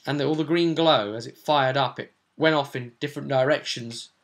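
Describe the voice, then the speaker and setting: Gravelly-voiced man recapping a roleplay game. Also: medium pitch, fairly quick. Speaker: game master and show host. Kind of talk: casual recap of a tabletop roleplay session.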